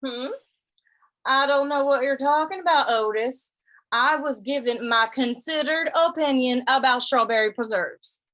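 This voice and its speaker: A woman's voice: high-pitched, a little slow, a bit bright. A woman playing a sly, good-natured western drifter in a radio play, folksy and hesitant, often repeating words. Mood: mock-innocent. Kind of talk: old-time radio western acting.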